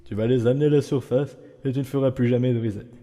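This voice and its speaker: A man plays a guarded skeleton for a comic dub, weary and dry. The voice is very dark and soft-edged, with solemn, measured delivery. A long hall reverb surrounds it, with music underneath. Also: medium pitch, steady tone, close to an everyday voice. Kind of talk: fan comic dub acting.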